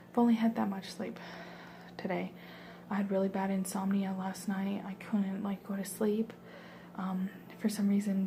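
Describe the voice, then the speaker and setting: A young woman tells a story in a soft, hushed whisper, wandering off track with plenty of "like" and "um". Her voice stays flat and mellow. A faint hiss sits behind her. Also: medium pitch. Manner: soft whisper, rambling with many fillers.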